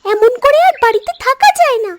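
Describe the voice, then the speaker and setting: A woman's voice: extremely high pitch, lively intonation, brisk. A woman voicing a greedy, sulky young cartoon duckling who whines and complains full of self-pity. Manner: whiny, complaining.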